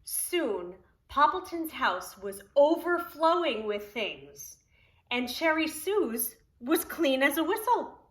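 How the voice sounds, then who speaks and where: Slow, animated woman reading to preschoolers. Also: high-pitched, rough recording. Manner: expressive, simple explanations addressed to small children. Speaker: preschool teacher reading aloud. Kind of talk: read-aloud storytelling for young children with explanatory asides.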